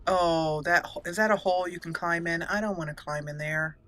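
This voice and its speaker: A woman's voice: lowish voice, a little fast, a bit bright. A woman giving casual, chatty let's play commentary as a gaming host, playfully exclaiming and talking to the characters on screen.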